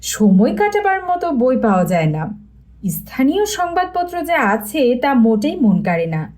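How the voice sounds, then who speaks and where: A woman narrating a scripted story as an audiobook reader, in an expressive storytelling manner. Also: high-pitched, a little slow.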